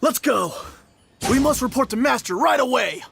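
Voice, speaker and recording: A man voicing an agitated, blustering henchman soldier in an anime-style game, loud and urgent with a gravelly strain. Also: very high voice, animated, moderate pace, background noise, heavily acted. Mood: alarmed, urgent. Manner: shouting, urgent. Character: blustering, agitated male henchman soldier, loyal to his master. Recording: game music behind.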